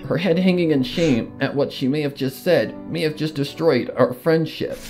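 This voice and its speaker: A man with a slightly high voice narrates a first-person story. His reading is theatrical and full of feeling, with guitar music playing softly underneath. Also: moderate pace. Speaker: fan fiction narrator.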